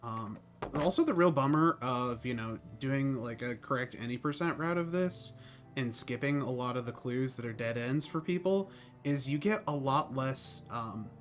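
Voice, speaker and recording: A man's voice: mid-range voice, normal speed, soft background music. A man giving casual, ironic live commentary as he streams a game. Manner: jokey, ironic.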